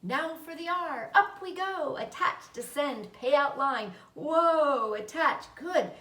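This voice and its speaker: A woman's voice: sits high, expressive, slow pace, fairly bright tone, pitched above the performer's own voice, full character voice. A woman voicing a busy female spider in a storybook, coaching herself with short, encouraging commands. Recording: roomy echo, distant mic.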